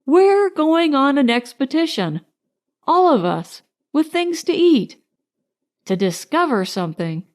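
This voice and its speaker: A woman's voice: high-pitched, very animated, slow, pitched above the performer's own voice, full character voice. A woman voicing a cheerful, naive, bumbling toy bear in a storybook, eager and muddling his words. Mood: excited.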